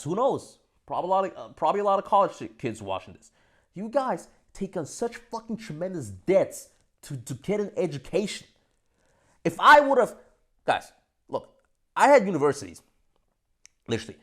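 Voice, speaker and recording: A young man from Austria talking straight to camera in a casual, animated monologue, swearing a lot and swinging between loud bursts and soft asides. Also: fairly high pitch. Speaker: vlogger.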